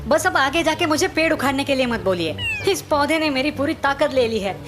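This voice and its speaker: Woman voicing a high-pitched, whining cartoon boy. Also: animated, a little fast, background noise. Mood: whining, tired. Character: tired, whining young boy, puzzled and obedient. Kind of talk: cartoon moral story dubbing.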